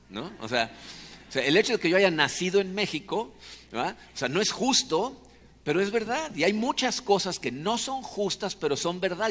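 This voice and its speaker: A man's voice: fairly high pitch, a bit bright. A man with a Mexican accent preaching a conversational, explanatory sermon, often checking for agreement with short tag questions. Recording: long hall reverb.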